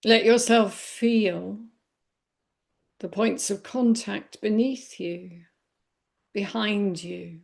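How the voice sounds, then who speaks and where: A woman leading a guided body scan meditation in a gentle, unhurried manner with long pauses. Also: mid-range voice, steady tone, vocal fry. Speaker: meditation teacher.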